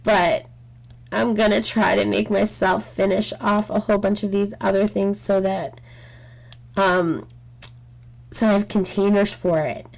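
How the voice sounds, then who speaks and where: Slow-talking woman with a sore throat. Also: mid-range voice, fairly even intonation, vocal fry. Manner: rambling, frank, many "um"s. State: sore throat, losing her voice. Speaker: craft channel host. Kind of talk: casual chat while diamond painting. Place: home craft table, indoors.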